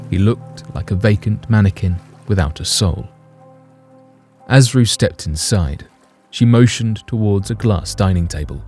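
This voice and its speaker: A man's voice: on the low side, mellow. A man narrating a scripted story in a literary, dramatic manner.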